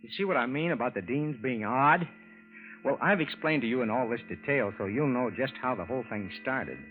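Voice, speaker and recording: An actor playing a folksy, puzzled American landlord in 1940s radio style, plain-spoken and hesitant. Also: male voice, mid-range voice, a little fast, natural voice. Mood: folksy narrating. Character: puzzled, folksy husband and landlord narrating his story. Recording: muffled vintage radio recording with music.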